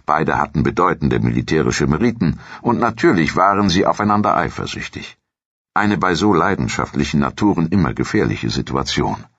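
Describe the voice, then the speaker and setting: A man narrates a documentary in an extremely low voice with a husky grain. His delivery is formal and measured, like scripted storytelling. The recording is dry and a little dull. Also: a bit bright, no room sound. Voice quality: gravelly, husky.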